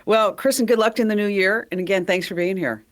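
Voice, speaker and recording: A woman with a deep voice hosting a podcast interview, chatting casually, laughing often and talking with plenty of fillers. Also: brisk. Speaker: podcast host.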